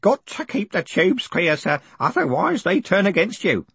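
A man's voice: high voice, very animated, normal speed, vocal fry, fairly bright tone, breathy edge, dry recording, voice pushed up, full character voice. A man voicing a fussy, deferential old postal worker in an audiobook, earnest and insistent. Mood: wheezy, eager. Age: older. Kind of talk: audiobook character acting.

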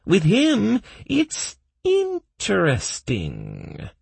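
A man voicing a hopeful, gleeful elf adventurer with a dreamy, drawn-out delivery. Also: high-pitched, wildly swooping pitch, creaky, slightly rough, no echo, voice pushed up, full character voice.

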